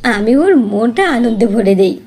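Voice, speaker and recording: A woman plays a patient young cartoon mother with a high voice. She coaxes and gently corrects, moving expressively up and down in pitch with a playful touch. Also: expressive, unhurried. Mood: light teasing. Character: patient, playful young village mother telling bedtime stories. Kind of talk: Bengali cartoon dubbing.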